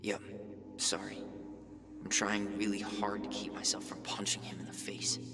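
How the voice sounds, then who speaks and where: A man's voice: on the low side, completely flat delivery, moderate pace, vocal fry, roomy sound, in a large hall. An actor playing a young man barely holding back anger in a video game drama, terse and restrained.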